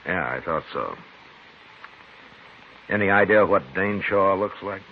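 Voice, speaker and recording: An actor playing a dry, laconic, world-weary American frontier marshal in old-time radio western style. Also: male voice, low-pitched, expressive, a little slow. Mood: wry. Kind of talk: 1950s radio western acting and narration. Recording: muffled, hissy old radio broadcast recording.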